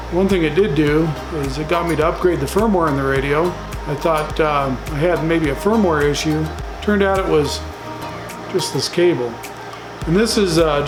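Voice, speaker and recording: A man in his forties talking casually to camera, plain-spoken and mildly grumbling, as an amateur radio vlogger. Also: a little high. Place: small home radio room. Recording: roomy echo, music behind.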